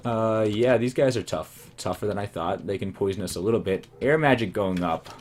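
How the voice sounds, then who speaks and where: A man casually commenting on his own gameplay, rambling and self-amused, his voice going hoarse. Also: on the low side, brisk, darkish tone, slight background noise. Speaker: let's play commentator.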